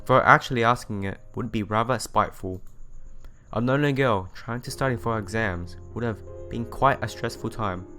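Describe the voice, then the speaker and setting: A man's voice: fairly low pitch, normal speed, bright, forceful tone. A man reading a visual novel aloud, with casual asides and some stumbles over words that he goes back over. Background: music playing behind the voice.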